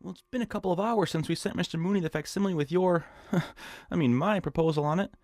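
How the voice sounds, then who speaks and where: An actor playing a nervous, hapless hypochondriac in an audio drama, fretful and stammering. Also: male voice, high-pitched, fast, a little mellow, dry recording, close to an everyday voice. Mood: eager, excited. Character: nervous, whiny nebbish hypochondriac man, eager and hapless. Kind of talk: audio drama acting in old-time radio suspense style.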